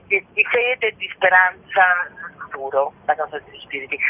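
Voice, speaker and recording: A woman's voice: fairly low pitch, slightly rough. A woman from Italy, a theatre actor and director, talking discursively and emphatically as a radio interview guest. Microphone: phone call to a radio show. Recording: narrow, tinny phone line.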